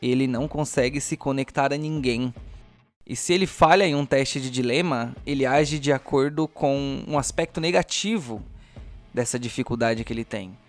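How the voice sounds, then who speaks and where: A man's voice: medium pitch, normal speed, faint music behind. Brazilian man narrating as game master. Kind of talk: dramatic tabletop game narration.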